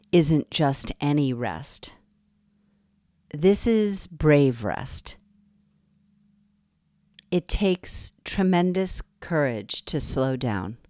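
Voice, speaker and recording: Woman guiding yoga nidra, super slow soothing voice, dry close sound. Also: a bass voice, no echo. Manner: slow, soft and soothing, with long pauses. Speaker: meditation guide. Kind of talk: guided yoga nidra meditation.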